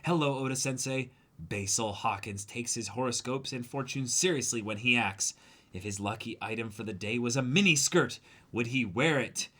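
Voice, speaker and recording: A man with a bright, forceful voice at a middle pitch. He co-hosts a manga reading stream, moving between casual chat and reading aloud. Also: normal speed.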